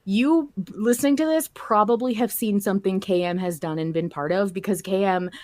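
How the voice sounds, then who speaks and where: A woman's voice: medium pitch, a little fast, darkish tone, no echo. A woman hosting a podcast in casual conversation, speaking informally and swearing freely. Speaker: podcast host.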